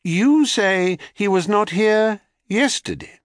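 A man's voice: high-pitched, expressive, takes it slowly, no room sound, clean studio sound, pitched above the performer's own voice. A man voicing a haughty, wrathful queen goddess who speaks with icy command. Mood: icy imperious. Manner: icy, imperious.

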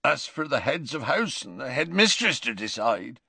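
A man voicing a huge, soft-hearted rustic man, croaky and tearful, with colloquial dropped sounds, in an audiobook. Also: mid-range voice, sing-song, normal speed, no room sound, voice pushed up, heavily acted. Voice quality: croaky, growling.

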